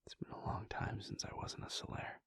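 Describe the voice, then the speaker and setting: A man voicing a devoted adult vampire prince in an audio roleplay, speaking in a hushed, halting, intimate way close to the microphone. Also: no room sound, in a whisper, close to an everyday voice. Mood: reflective. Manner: hushed, halting and intimate, with soft sighs and pauses. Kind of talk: audio roleplay aimed at the listener.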